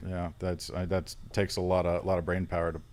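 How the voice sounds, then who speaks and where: Low-voiced man asking halting interview questions. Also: little pitch movement, a little mellow. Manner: halting, searching questions with restarts. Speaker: interviewer, host. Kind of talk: casual interview chat.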